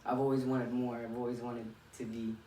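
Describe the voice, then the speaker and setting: A young man from Miami talks casually as a boxer being interviewed, feeling his way through answers and circling back on phrases. His mid-pitched voice sits away from the mic in a very echoey room with faint noise. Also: level tone, brisk, warm tone, distant mic. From Miami, United States.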